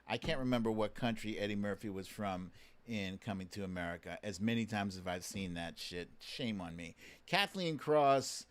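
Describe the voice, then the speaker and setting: A man's voice: on the low side, expressive, normal speed. A man hosting a casual live quiz stream, bantering with viewers in an informal, mildly sweary, teasing way.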